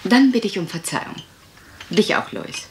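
A woman's voice: mid-range voice, animated, moderate pace, close to an everyday voice. A woman acting a sardonic young lady of the manor in a radio mystery. She speaks dryly and pointedly with varied intonation, over faint noise. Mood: sardonic, cool. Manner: dry, pointed.

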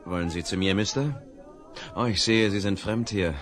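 An actor playing an easygoing small-town sheriff in a radio play, affable and hearty. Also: male voice, lowish voice, moderate pace, loud music behind the voice, natural voice. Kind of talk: 1970s radio play acting.

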